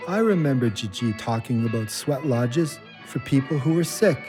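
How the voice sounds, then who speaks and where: Guy acting loyal ex-con buddy in an audio play, earnest, plain talking, pitch moves around a lot. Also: lively intonation, normal speed, over music, lightly acted. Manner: earnest, plain-spoken, colloquial. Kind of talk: naturalistic audio drama acting.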